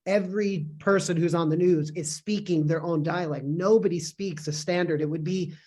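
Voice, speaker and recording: A man's voice: a little high, steady tone, moderate pace, dark tone. Man explaining on a video call. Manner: fluent and explanatory, with filler restarts. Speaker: co-author answering from the audience. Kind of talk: academic Q&A answer.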